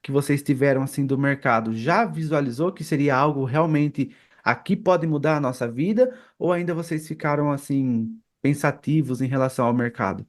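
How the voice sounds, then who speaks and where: A man's voice: medium pitch, normal speed, hardly any pauses. A Brazilian man hosting a podcast, interviewing casually and often adding little tag questions. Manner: peppers talk with tag questions. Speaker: podcast host.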